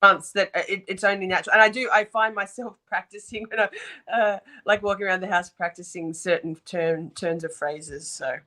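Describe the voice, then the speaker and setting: Bright-voiced Australian woman, bubbly. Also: mid-range voice, steady tone, brisk. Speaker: host. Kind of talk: casual livestream chat.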